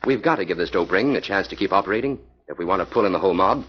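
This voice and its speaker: An actor playing a confident crime-fighting hero who gives brisk orders, in a 1940s radio drama with a lo-fi sound. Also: male voice, low voice, lively intonation, breathy edge. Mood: commanding. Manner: brisk, commanding.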